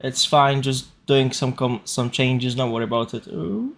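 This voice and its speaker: A man's voice: medium pitch, normal speed, vocal fry. A man livestreaming a video game, chatting casually and thinking aloud as he plays. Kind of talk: casual livestream chat while playing. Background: game music.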